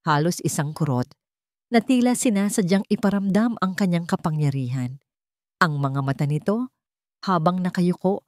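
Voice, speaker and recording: Woman storyteller, lowish voice, scripted dramatic narration, dry close recording. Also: a little mellow, no room sound.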